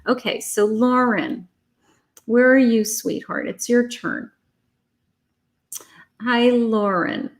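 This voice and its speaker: A woman's voice: on the high side, slow pace, long pauses. Chatty woman reading tarot live. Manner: chatty and reassuring, calls viewers honey and sweetheart. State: losing her voice. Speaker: psychic tarot reader.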